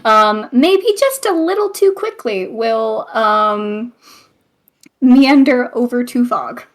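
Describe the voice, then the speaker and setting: A woman player in a tabletop game, describing her character's actions in a halting way. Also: fairly high pitch, lively intonation.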